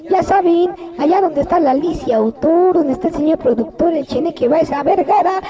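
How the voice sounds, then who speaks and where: A woman's voice: extremely high pitch, low-quality recording. A woman with a Mexican accent hosting a podcast, plugging the show in a crude, slangy, joking way. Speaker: podcast host. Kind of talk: casual podcast promo.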